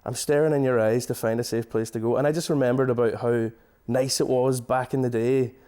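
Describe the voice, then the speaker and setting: A man with a Donegal Irish accent, a singer-songwriter guest chatting in a studio, hesitant and restarting often, his voice trailing off quietly. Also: medium pitch, fairly even intonation, a little fast, clear, resonant tone. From Donegal, Ireland. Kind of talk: casual interview chat. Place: radio studio.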